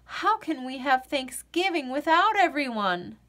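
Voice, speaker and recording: Lady doing a hyped-up nine year old boy, high and bouncy, yelling about football. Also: very animated, a little slow, full character voice. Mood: dismayed. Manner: eager, exclaiming.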